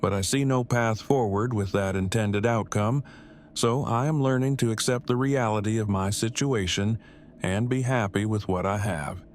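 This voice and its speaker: A man's voice: on the low side, normal speed, a little hiss or hum, lightly acted. A man playing a wordy, self-deprecating, heartbroken nerd who speaks in stilted formal phrases in an audio drama. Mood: resigned, earnest.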